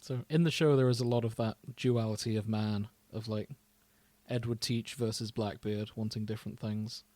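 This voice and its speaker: A man's voice: fairly low pitch, steady tone, normal speed, warm tone, dry recording. A man co-hosting a casual podcast, bantering and reading fan fiction aloud in a jokey way, often laughing. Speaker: podcast co-host.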